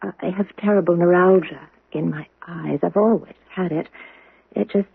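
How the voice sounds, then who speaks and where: An actress playing a gentle, humble young model in a radio drama, hesitant and wistful. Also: female voice, fairly low pitch, steady tone, dry recording, heavily acted. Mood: shy, apologetic. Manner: hesitant, apologetic, breathy pauses. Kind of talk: radio drama acting and first-person narration. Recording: noisy, narrow-band old radio recording.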